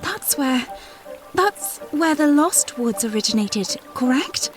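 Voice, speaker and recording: An actress playing a young, inquisitive princess in an audio drama, speaking in an earnest, questioning way. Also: female voice, sits high, big pitch swings, slow pace, music in the background, heavily acted. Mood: curious.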